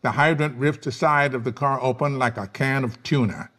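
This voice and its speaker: A man's voice: medium pitch, normal speed, a bit bright. Casting: male narrator for screenplay directions, middle-register voice, scripted delivery. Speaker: narrator reading stage directions.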